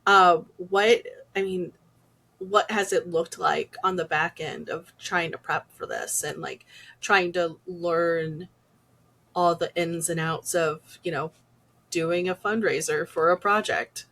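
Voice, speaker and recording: Relaxed, chatty woman interviewer. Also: fairly low pitch, takes it slowly. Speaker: podcast host, interviewer. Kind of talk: casual interview.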